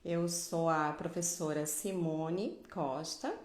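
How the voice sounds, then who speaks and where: A woman's voice: low voice, fairly even intonation, slow, darkish tone, reverberant. A middle-aged woman from Pernambuco, Brazil, giving a live online dentistry lecture in a didactic way, often adding tag questions. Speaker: dentistry professor.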